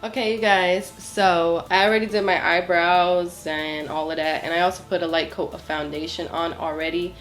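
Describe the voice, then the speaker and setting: Young woman vlogger, lowish and nasal from a cold, chatty and apologetic, soft music bed. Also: fairly even intonation, normal speed, few pauses, poor audio. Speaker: beauty vlogger. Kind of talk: casual makeup tutorial chat. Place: small home studio with plain wall.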